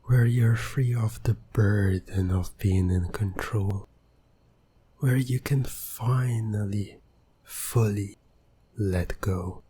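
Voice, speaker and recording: Male ASMR hypnotist: low-pitched, unhurried to the extreme, seductive and controlling, hushed delivery edging into whispers. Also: husky. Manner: slow, commanding, hushed, often slipping toward whispering. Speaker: roleplay performer. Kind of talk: ASMR hypnosis roleplay, scripted, intimate.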